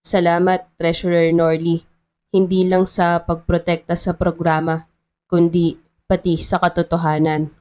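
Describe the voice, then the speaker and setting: A woman's voice: lowish voice, flat delivery, a little slow, mellow, close to an everyday voice. A woman with a Filipino accent voicing an earnest, principled male mayor in a story, speaking formally and solemnly. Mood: grateful.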